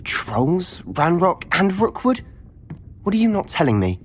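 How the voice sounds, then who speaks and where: An actor playing a friendly, inquisitive teenage wizard in a fantasy game. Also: male voice, sits high, very animated, a little slow, a little hiss or hum. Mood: curious, concerned.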